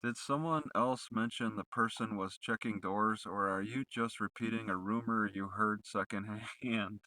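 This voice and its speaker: A man's voice: fairly low pitch, steady tone, unhurried, bright, forceful tone, poor audio. A man putting accusatory, leading questions in the style of a rehearsed cross-examination.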